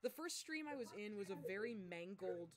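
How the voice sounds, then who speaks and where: A woman's voice: medium pitch, animated, a little fast, a crowd in the background. A woman leading a voice acting team, chatting on a live stream call with lots of laughter and rambling restarts. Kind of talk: casual live stream chat. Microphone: video call.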